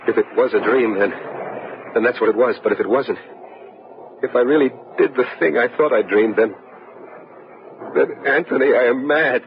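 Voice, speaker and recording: An actor playing a tormented man consumed by fear and madness, halting and repeating himself in an old-time radio horror drama. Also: mid-range voice, close to an everyday voice. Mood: shaken. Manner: halting, repeating words in dread. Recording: muffled old radio recording, music behind.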